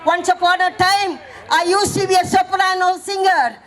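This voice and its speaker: Hoarse high-voiced woman rousing a protest crowd. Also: steady tone, normal speed, a bit bright. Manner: rousing, with rhetorical questions to the crowd. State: hoarse from much speaking. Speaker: protest speaker. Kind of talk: impassioned rally speech. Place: outdoor protest gathering.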